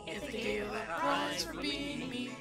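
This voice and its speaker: A woman's voice: fairly high pitch, unhurried, close to an everyday voice. A woman playing a mocking villager who sings a ditty in a fan dub. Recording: loud music over the voice.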